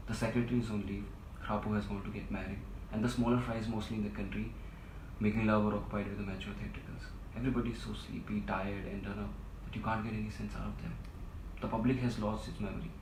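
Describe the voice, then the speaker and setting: An actor playing an exhausted, self-pitying middle-aged civil servant in a stage play, pleading and ranting melodramatically. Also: male voice, lowish voice, level tone, fast, natural voice. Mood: exasperated complaining. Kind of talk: theatrical stage-play dialogue. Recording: echoey room, distant mic, loud noise.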